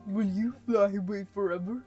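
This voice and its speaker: A woman's voice: mid-range voice, steady tone, moderate pace, mellow, voice pushed up, close to an everyday voice. A woman voicing a lonely, earnest young hunter in love, subdued and tender in a storybook telling. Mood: pleading, sad. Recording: muffled, music under the voice.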